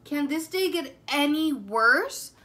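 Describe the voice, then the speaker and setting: A woman's voice: high-pitched, lively intonation, takes it slowly, bright, forceful tone, full character voice. A woman voicing a gloomy, reluctant young male cat in a storybook, grumbling and complaining dramatically.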